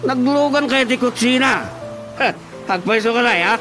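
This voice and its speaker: A man's voice: very high voice, moderate pace, music in the background. A man acting a blustering, evasive husband in a radio drama, stammering and sputtering. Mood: bullying, suspicious. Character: blustering, evasive husband, cowed when confronted.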